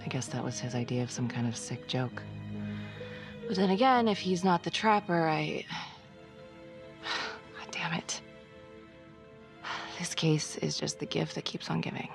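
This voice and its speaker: A woman's voice: deep voice, measured pace, gravelly, pauses often. A woman acting a weary, guarded and tough homicide detective in a crime game, speaking bluntly in a naturalistic style. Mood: weary, troubled. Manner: blunt, swearing, world-weary. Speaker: video game actor. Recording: music under the dialogue. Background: background music.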